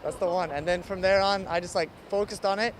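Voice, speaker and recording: A man's voice: sits high, fairly even intonation, a little fast. An American man chatting casually and telling personal stories as an interviewee. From United States. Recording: faint street traffic noise.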